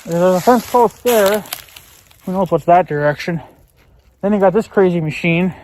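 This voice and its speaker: A man's voice: high-pitched, moderate pace, darkish tone, poor audio. An American man rambling casually outdoors in a folksy way, thinking aloud as he films a vlog. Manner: folksy, musing aloud, mild swearing. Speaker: vlogger. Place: outdoors in rural woods. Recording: faint outdoor background noise. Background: faint outdoor noise.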